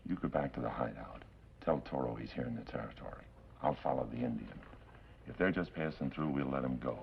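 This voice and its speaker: A cautious, commanding western outlaw. His voice is extremely deep and gravelly, his talk firm and tough, heard on a dull vintage TV soundtrack. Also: fairly quick, heavily acted. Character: cautious, tough senior outlaw henchman, level-headed. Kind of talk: 1950s television western acting. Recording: dull, hissy old television soundtrack.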